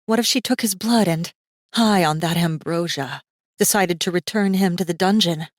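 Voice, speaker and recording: A woman narrating a fantasy audiobook in a measured, suspenseful manner with deliberate pauses. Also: on the low side, no echo, studio quality. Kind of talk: scripted dramatic fiction narration.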